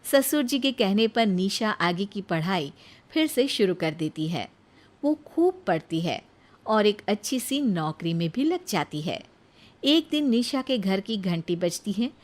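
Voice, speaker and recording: A woman's voice: mid-range voice, big pitch swings, measured pace. A woman narrating a scripted moral story as a storyteller.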